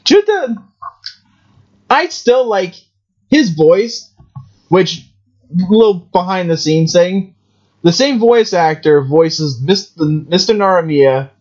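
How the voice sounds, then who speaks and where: Man podcast host, casual and rambling, lively pitch, lo-fi sound. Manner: rambling, lots of restarts. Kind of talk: casual chat.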